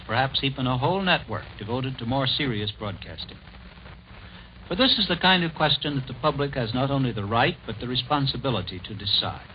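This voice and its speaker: Man, American accent, mid pitch, formal deliberate radio talk, dull 1940s recording, faint noise. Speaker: radio commentator.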